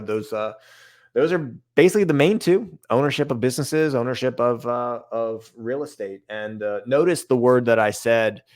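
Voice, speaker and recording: A man co-hosting a podcast with a raspy voice, chatty and loose, repeating himself as he riffs. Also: medium pitch. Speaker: podcast co-host. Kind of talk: casual podcast conversation.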